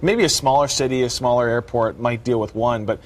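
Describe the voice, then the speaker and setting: A man's voice: medium pitch, a little fast. A man with an American accent working as a TV news anchor, reading news and chatting casually with co-hosts. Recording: clearly noisy background ambience.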